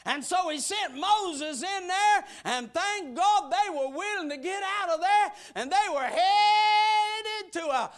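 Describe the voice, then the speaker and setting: A man in his sixties preaches in a strikingly high, swooping voice. He builds repeated lines into shouted bursts of praise, then falls back to quiet, folksy asides. He takes his time, stretching out the big words. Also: animated, slow, hardly any pauses. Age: 60s. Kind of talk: sermon.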